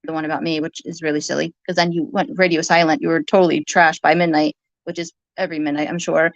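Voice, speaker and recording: A woman's voice: sits low, level tone, quick pace, vocal fry, no room sound. A woman in a heated, informal argument, talking in an accusatory, rambling way and sometimes swearing. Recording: muffled lo-fi audio.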